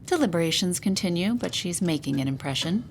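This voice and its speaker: A woman's voice: on the low side, lively intonation, brisk, a little hiss or hum. An actress playing a blunt, wry young aristocratic lady in an audio drama. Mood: wry, assured. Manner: dry, wry, challenging. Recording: music behind the voice.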